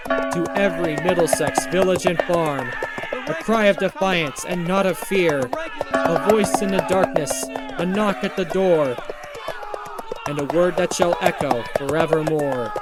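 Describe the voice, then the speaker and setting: A man narrates a historical poem with solemn drama. His voice sits a little high and he delivers each phrase in a measured, storytelling way. Prominent music plays loudly behind him. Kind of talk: dramatic poetry reading.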